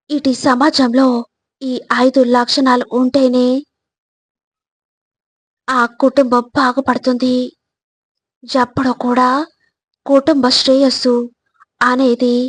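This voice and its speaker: Slow, even-toned woman narrating life advice. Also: high voice, dry recording. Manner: measured, instructive, addressing the listener directly. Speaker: narrator of motivational videos. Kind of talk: scripted motivational narration.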